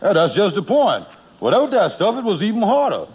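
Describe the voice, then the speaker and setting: An actor playing a boastful, smooth-talking schemer in a 1940s radio comedy, with a blustering manner and a muffled old broadcast sound. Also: male voice, high voice, huge pitch swings, crowd noise. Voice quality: fast blustering dialect talk. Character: boastful scheming middle-aged man, smooth-talking con artist.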